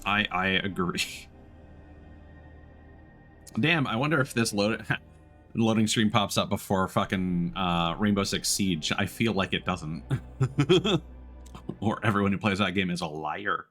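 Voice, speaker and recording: A man with a low voice talks casually to his live-stream audience while playing a game. His delivery is conversational and unscripted, and music plays softly in the background. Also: animated, measured pace, pauses often. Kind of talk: casual live-stream chat with gameplay commentary.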